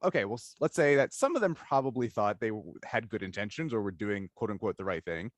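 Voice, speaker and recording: A man's voice: lowish voice, expressive, fast talker, no echo. A man hosting a casual discussion interview, thinking aloud analytically in long stretches and often checking in with "right?". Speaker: interviewer, podcast host.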